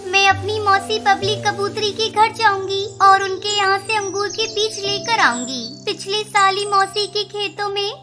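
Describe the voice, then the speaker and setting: A woman voicing an anxious, earnest and gentle mother bird in a children's cartoon story, with a pleading manner. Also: very high voice, a little slow, few pauses, over music. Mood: hopeful.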